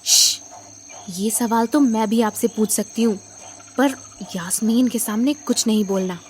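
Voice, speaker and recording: A woman playing a guarded, quietly authoritative elder sister in an audio drama, speaking in a measured, stern way. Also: on the high side, close to an everyday voice. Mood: secretive.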